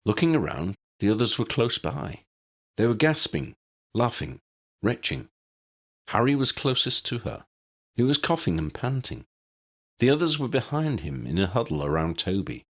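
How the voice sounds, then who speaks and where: A man's voice: medium pitch, big pitch swings, normal speed, gravelly. A man reading a scripted story aloud as an audiobook narrator.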